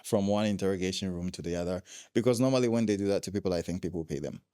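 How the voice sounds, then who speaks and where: A man's voice: sits low, very fast, warm tone, dry recording, studio quality. A young man from Ghana, a guest telling his travel stories in a casual interview, laughing often.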